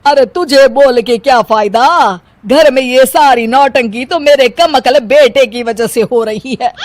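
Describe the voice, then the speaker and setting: Woman playing a nagging, high-voiced Punjabi mother-in-law. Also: dry recording. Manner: scolding, theatrical complaining. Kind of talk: animated Hindi story dialogue.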